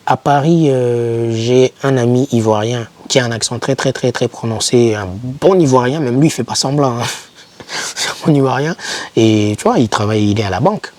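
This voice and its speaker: A man's voice: medium pitch, normal speed, a little mellow. A young man giving informal motivational advice to camera, speaking emphatically and repeating key words to encourage the listener. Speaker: YouTuber advising foreign students.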